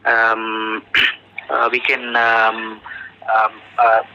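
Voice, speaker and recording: A man with an Indian accent answering technical questions as a job candidate over the telephone, halting often and searching for words. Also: on the low side, monotone, gravelly, sharp tone. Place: telephone call. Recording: muffled, dull telephone interview recording.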